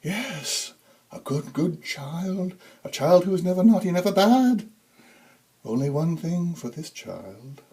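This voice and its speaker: A man voicing a huge, ancient, eerie Santa Claus in a storybook, with a breathy tenor, halting and creepily doting. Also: high-pitched, big pitch swings, mellow, voice pushed up, exaggerated voice. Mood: sinister delight.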